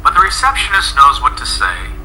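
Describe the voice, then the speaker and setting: A man narrating a scripted English lesson in a measured, explanatory way, as a presenter. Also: medium pitch, huge pitch swings, sharp tone, a little hiss or hum, low-quality recording.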